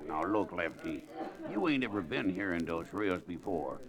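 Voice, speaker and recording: A man's voice: sits low, animated, moderate pace. An actor playing a crude, quarrelsome American gunslinger henchman in an old-time radio Western, talking in folksy slang. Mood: servile, folksy. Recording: vintage radio recording, crowd noise behind.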